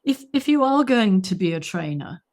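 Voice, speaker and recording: A woman co-hosting an interview as a vocal teacher trainer, affirming and warm, often answering with "mm". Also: mid-range voice, very animated, moderate pace. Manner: warm, affirming, many "mm" replies. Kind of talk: casual interview chat.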